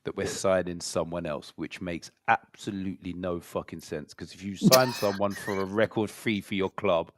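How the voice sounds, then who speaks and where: A man's voice: deep voice, fairly even intonation, moderate pace, hardly any pauses, background noise. A man hosting a casual football chat, blunt and opinionated with some swearing. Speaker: football podcast host.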